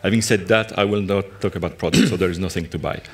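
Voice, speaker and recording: A man from Belgium with a French accent speaks on a conference stage, his voice sitting fairly low. His delivery is dry and teasing, with a faint hiss underneath. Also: steady tone, fast. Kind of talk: conference talk.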